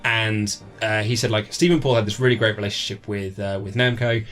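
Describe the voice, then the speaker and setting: A man's voice: fairly low pitch, fairly quick, a bit bright, soft background music. A man chatting casually as an interview guest, telling anecdotes and acting out remembered bits of dialogue. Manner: chatty, acts out remembered dialogue in his stories.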